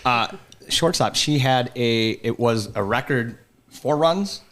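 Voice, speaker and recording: A man, co-hosting a casual podcast, excitable and enthusiastic. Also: mid-range voice, slow. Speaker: podcast co-host. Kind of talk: casual banter.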